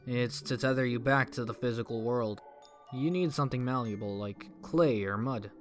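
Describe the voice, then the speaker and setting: A woman plays a stoic magical wanderer born of fire in a comic dub. The voice is extremely low for a woman, with dry, clipped, cryptic delivery. Soft music plays behind it. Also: moderate pace. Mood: matter-of-fact. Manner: deadpan, terse, cryptic. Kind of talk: fan comic dub voice acting.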